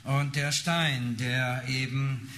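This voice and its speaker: A man interpreting a sermon live, phrase by phrase, often halting as he searches for words. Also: medium pitch, steady tone, background noise.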